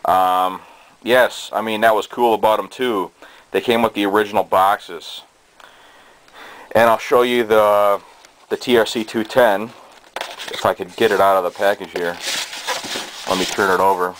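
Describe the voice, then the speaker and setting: Low-pitched man, halting and casual. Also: poor audio. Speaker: hobbyist presenter. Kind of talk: casual show-and-tell of collected gadgets. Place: small cluttered bedroom indoors. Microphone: handheld camera's built-in microphone. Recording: steady noise, amateur camera sound.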